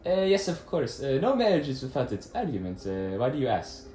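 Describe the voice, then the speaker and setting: A man playing a flustered, defensive French husband with a French accent and halting, evasive delivery in a puppet-show mystery. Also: medium pitch, big pitch swings, warm tone, echoey room, faint music behind, lightly acted. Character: Emil, defensive French husband of a murdered woman.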